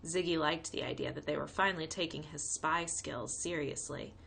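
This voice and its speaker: A woman's voice: sits low, fairly even intonation, normal speed, vocal fry, a bit bright, slightly rough. A woman narrating a children's book aloud as a read-along for young listeners. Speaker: storybook reader.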